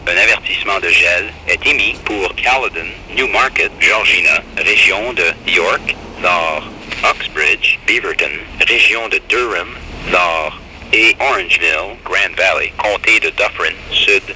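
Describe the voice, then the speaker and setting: A man's voice: sits low, normal speed, sharp tone. A man reading scripted bulletins and test announcements as a weather radio announcer. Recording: very noisy weather radio reception.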